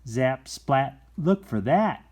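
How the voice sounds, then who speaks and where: A man hosting a children's story time, reading aloud in a playful, expressive way with chatty, folksy asides and shifts between hushed and exclaimed delivery. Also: mid-range voice, slow. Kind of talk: animated read-aloud with casual asides to young viewers.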